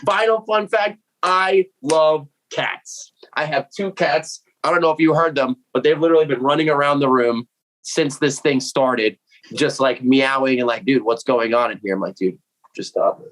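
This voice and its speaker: A young man in his late twenties, from Puerto Rico, talks casually at home about what he loves. He sits at a medium pitch, slangy and excitable. He swears freely and acts out his own reactions as he goes. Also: normal speed, poor audio. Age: 20s. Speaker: metal vocalist interviewee. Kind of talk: casual chat to camera. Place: home room with cats.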